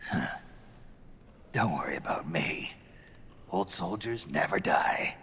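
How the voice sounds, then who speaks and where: An actor playing a weary, gruff aging soldier in a video game, with a gravelly growl and a terse, fatalistic manner. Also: male voice, deep voice, expressive, slow, exaggerated voice. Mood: weary, resigned. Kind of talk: dramatic video game cutscene acting. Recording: loud music behind the voice.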